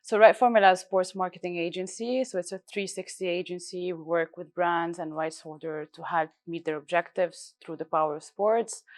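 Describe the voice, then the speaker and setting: A woman in her thirties from Lebanon, a research director explaining her work fluently in a live panel interview. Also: lowish voice. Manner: fluent, explanatory, with light fillers. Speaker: guest speaker, research director.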